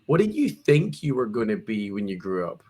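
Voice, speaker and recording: A man hosting a podcast, asking questions in a relaxed way with frequent restarts and "uh.". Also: on the low side, normal speed, slightly rough. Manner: conversational, with repeated restarts and "uh" fillers. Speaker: podcast host. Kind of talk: casual interview.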